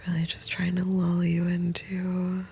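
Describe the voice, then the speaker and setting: A woman whispering soft, meandering, intimate late-night rambling from the floor of a small sound booth. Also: fairly low pitch, completely flat delivery, unhurried, a little hiss or hum. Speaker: host.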